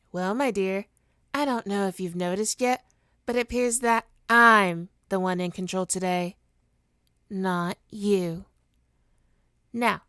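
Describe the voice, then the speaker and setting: A woman's voice: mid-range voice, fairly bright tone, clear, resonant tone, no room sound, clean studio sound, natural voice. A woman playing a smug, teasing supervillain with hypnotic powers in an ASMR roleplay, taunting and theatrical in a condescending way. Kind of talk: ASMR roleplay monologue to listener.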